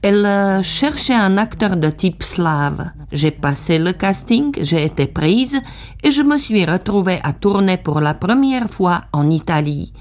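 A woman reading a calm first-person voice-over translation of an interview. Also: lowish voice, a little slow.

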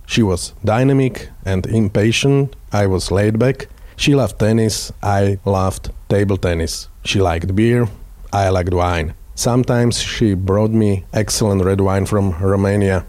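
A man with a Slovak accent giving a prepared personal tribute in plain, measured sentences. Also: on the low side, darkish tone, no echo. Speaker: radio journalist.

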